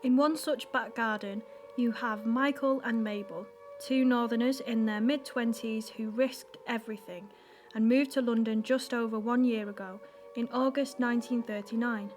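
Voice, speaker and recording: A woman's voice: on the high side, steady tone, normal speed, a little mellow, soft background music. A woman reading scripted narration for a wartime audio drama.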